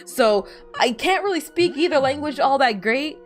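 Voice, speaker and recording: A woman's voice: high-pitched, animated, normal speed, faint music behind. A woman whose first language is Spanish, chatting casually with viewers while she plays a video game, rambling and often restarting her sentences. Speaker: streamer.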